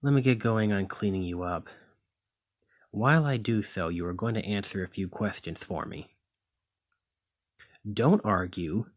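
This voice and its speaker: A man playing a gruff, protective demigod hero in an ASMR roleplay, scolding yet caring, with a husky voice. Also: lowish voice, full character voice. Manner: exasperated, bossy, caring.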